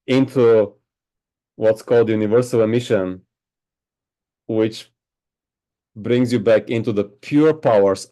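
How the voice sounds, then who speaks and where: A man who teaches meditation, answering interview questions in a slow, reflective way and often checking in with "right?". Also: mid-range voice, warm tone. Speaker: spiritual teacher. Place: home on a Hawaiian island.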